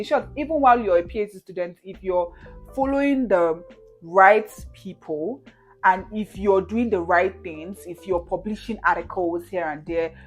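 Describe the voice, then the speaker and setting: A woman in her twenties from Nigeria, chatting casually to camera as she answers viewer questions, with frequent "you know" and "right?" and easy laughs. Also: mid-range voice. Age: 20s.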